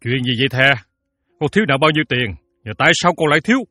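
A man's voice: medium pitch, animated, fairly quick, a bit bright, dry recording, clean studio sound, close to an everyday voice. A man playing a middle-aged, worried village father in an audio story, polite and plain-spoken with a Southern Vietnamese accent. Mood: anxious. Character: humble, worried older village father, patient and conciliatory. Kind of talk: audio story drama dialogue.

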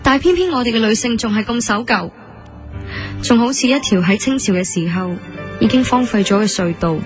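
A woman's voice: fairly high pitch, expressive, a little fast, natural voice. A woman with a Hong Kong Cantonese accent acting a prickly, proud young café woman who also narrates her story in a radio drama. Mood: troubled, reflective. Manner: earnest, indignant, introspective. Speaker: lead actress and narrator. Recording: studio drama with music underneath.

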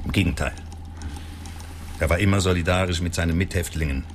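An actor playing a sober, sceptical justice official in a radio drama, formal, measured and matter-of-fact. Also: male voice, sits low, slight background noise, lightly acted.

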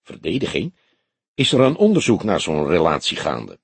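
A man voicing a grey-haired veteran police detective in an audiobook, old-fashioned, formal and deliberate, with a dry, probing way. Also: low-pitched, wildly swooping pitch, vocal fry, breathy edge, no echo, pitched below the performer's own voice, heavily acted. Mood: feigned surprise. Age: older.

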